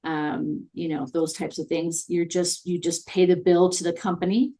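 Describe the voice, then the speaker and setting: Chatty upbeat woman, lowish voice. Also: flat delivery, normal speed, mellow. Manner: chatty and upbeat, many fillers. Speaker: guest consultant on virtual assistants. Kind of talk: conversational interview.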